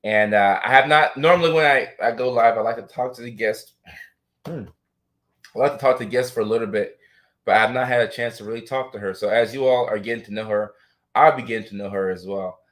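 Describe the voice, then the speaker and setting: A man's voice: medium pitch, brisk, fairly bright tone. A man hosting a live talk in a casual, supportive way, effusive and validating, with some strain in his voice. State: vocal trouble, strained voice. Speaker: host of a live talk show. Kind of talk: casual, supportive interview chat.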